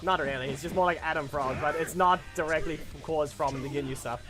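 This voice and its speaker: A man's voice: fairly high pitch, a little fast, over music. A man giving casual live commentary on a fighting game, calling out moves and mocking opponents. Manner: excitable, calls out moves and trash-talks opponents. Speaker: gaming channel host.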